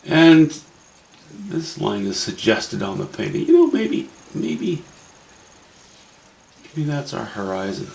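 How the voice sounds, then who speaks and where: A man's voice: medium pitch, very animated, takes it slowly, gravelly, long pauses, a little mellow, reverberant, poor audio. A man with a Canadian accent, thinking aloud in a wry, musing way as he walks through a painting demo. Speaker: painting instructor. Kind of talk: casual painting demo narration.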